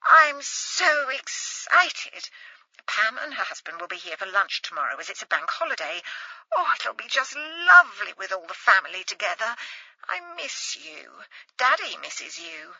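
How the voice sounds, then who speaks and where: A woman voicing a timid, posh older mother who speaks softly and gently, in a slightly dull, dry recording. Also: medium pitch, big pitch swings, a little slow, no echo, exaggerated voice. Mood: timid, fond.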